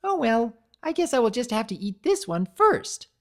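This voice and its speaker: High-pitched, bubbly female pony, singsong, jolly cartoon baker, acted by a narrator, swooping intonation. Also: sing-song, moderate pace, a bit bright. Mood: breezy resignation.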